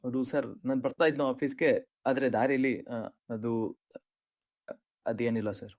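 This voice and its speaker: A man's voice: medium pitch, steady tone, unhurried, no room sound, clean studio sound, close to an everyday voice. A man with an Indian accent playing an earnest, dejected young employee, apologetic and hesitant, in short-film drama dialogue.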